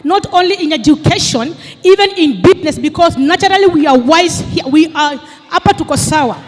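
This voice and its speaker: Rousing woman, high voice. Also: animated, a little fast. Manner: rousing, rhetorical, teasing. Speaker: panelist, geographer. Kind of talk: impassioned public debate speech. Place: large hall with audience. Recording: long hall reverb with faint noise.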